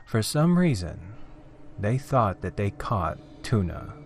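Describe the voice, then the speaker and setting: A man narrating a scripted horror story in a theatrical, deliberate, dramatic way, with drawn-out phrases. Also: fairly low pitch, big pitch swings. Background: background music.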